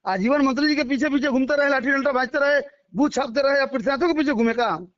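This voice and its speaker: A man's voice: very high-pitched, quick pace, a bit bright, dry recording. A middle-aged man with a Bihari accent speaking emphatically and repetitively, in colloquial words, as a local politician in a phone interview. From Bihar, India. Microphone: phone call. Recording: dull lo-fi phone call recording.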